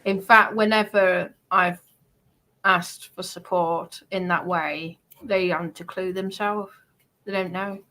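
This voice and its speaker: A woman chatting casually about personal matters in a hesitant, halting way. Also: fairly low pitch, fairly even intonation, bright, forceful tone, low-quality recording.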